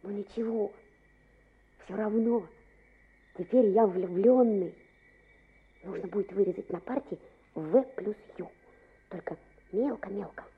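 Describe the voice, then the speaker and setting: A woman's voice: mid-range voice, a little slow, pauses often, lightly acted. A woman playing a boastful, dreamy schoolboy in love in a radio play. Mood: dreamy, secretive. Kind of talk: Soviet-style radio play acting. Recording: muffled, echoey old radio play with noise.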